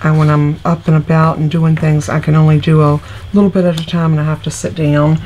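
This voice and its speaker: A woman's voice: low-pitched, level tone, brisk, mellow, a little hiss or hum, low-quality recording. A middle-aged woman, still congested from illness, chatting homily through each step of a card-making tutorial. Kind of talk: casual craft tutorial.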